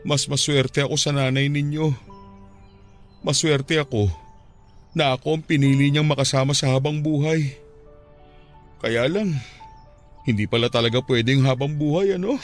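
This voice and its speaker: A man's voice: mid-range voice, fairly even intonation, moderate pace, pauses often, faint music behind, natural voice. An actor playing a heartbroken widowed father in a radio drama, talking tearfully, his voice breaking with grief. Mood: sorrowful. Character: grieving widowed father, middle-aged, heartbroken and tender.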